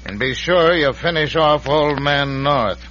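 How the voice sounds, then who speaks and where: A man's voice: a little high, a little slow, lightly acted. A man playing a scheming, bossy crooked lawyer with an American accent in an old radio western. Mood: scheming, irritated. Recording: dull vintage radio with hum.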